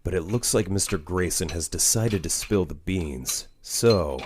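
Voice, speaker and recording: A man playing a grim, stern vigilante mentor in a comic dub, brooding and terse, with measured delivery. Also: low-pitched, a little mellow, slight background noise. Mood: stern, reserved. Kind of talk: comic dub narration and dialogue. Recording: music behind the voice.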